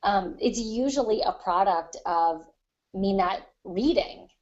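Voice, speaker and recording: A woman's voice: medium pitch, animated, takes it slowly, highs cut off. A woman on a podcast panel talking casually and reflectively about her craft, explaining things at length. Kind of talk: casual discussion of writing craft.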